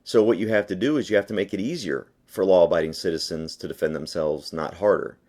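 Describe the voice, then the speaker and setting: A man's voice: low-pitched, fast, darkish tone. A man being interviewed by phone, answering argumentatively in an emphatic, insistent manner. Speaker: interview guest, gun rights advocate. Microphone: phone call.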